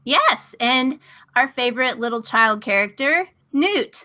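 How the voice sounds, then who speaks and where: A woman's voice: sits high, lively intonation, a little slow, dry recording, lo-fi sound. A woman hosting a podcast, talking casually in a chatty, enthusiastic way about things she recommends. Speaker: podcast host.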